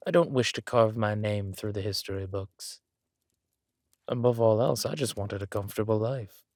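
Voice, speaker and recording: Man acting a low, gravelly villain king. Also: no echo, clean studio sound, natural voice. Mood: weary, reflective. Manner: quiet, measured, understated menace. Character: menacing villain king, weary, dry-witted former torturer. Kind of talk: villain audio roleplay.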